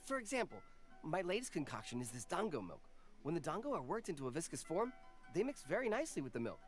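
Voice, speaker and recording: A woman's voice: sits low, sing-song, fast, faint music behind. A woman playing a humble female snack vendor in a video game, earnest, deferential and easily flustered. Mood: earnest, chatty.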